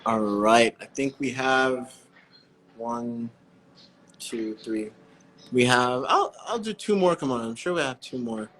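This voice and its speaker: A man hosting a breathwork live stream, chatting in a relaxed, friendly way with callers and often correcting himself mid-sentence. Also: medium pitch, takes it slowly, poor audio.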